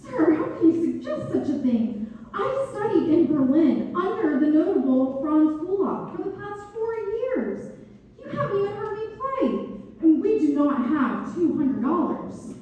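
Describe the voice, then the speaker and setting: A woman's voice: extremely high pitch, big pitch swings, a little slow, voice pushed up, heavily acted. A woman playing an indignant, proud young pianist protesting in a theatrical read-through. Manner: affronted, protesting. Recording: distant, echoing, thin phone-like sound.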